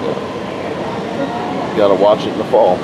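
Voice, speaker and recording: A man with a somewhat low voice strolls around a display, chatting easily and filling gaps with ums and compliments. He is caught on a handheld camera inside a farm toy show hall. Loud background noise from the hall sits under everything he says. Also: moderate pace. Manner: relaxed, chatty, lots of fillers and compliments. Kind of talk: casual walk-around interview.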